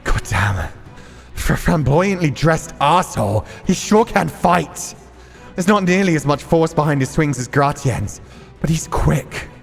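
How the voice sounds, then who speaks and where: A man's voice: fairly high pitch, animated, a little slow, creaky, faint music behind, voice pushed up. A man voicing a hot-headed, foul-mouthed young rebel swordsman in a visual novel, defiant and intense. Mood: tense.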